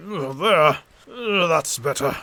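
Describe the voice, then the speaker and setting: Man acting unhurried lawyer, highish male voice, patient, hesitant, radio mystery drama. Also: dry recording, exaggerated voice. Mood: grave. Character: measured, patient male family lawyer breaking grim news. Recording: steady noise behind, vintage radio recreation.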